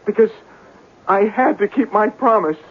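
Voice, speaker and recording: An obsessed, distraught lover in an old radio drama. His voice is high and rough-edged, pleading with feverish, repetitive intensity. The sound is narrow and muffled, like an old broadcast. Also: normal speed. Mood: insistent, anguished. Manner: fervent, repetitive, melodramatic. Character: distraught, obsessed man searching for his lost sweetheart. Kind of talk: 1970s radio drama acting.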